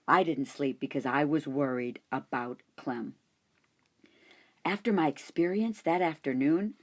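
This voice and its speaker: A woman narrating a first-person children's story with an animated, playful delivery. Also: on the low side. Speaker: audiobook narrator.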